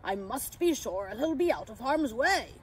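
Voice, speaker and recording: A woman voicing a kindly, excitable old man in a children's story, with a hearty, fatherly manner. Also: on the high side, unhurried, husky, voice pushed down, full character voice. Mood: worried.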